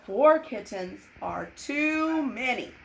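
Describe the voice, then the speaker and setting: Woman reading as exasperated storybook dad, slow and emphatic. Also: a little high, animated, vocal fry, fairly bright tone, exaggerated voice. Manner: emphatic, exclaiming, drawn-out complaints. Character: exasperated, blustering father of a storybook family. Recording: noticeable background noise.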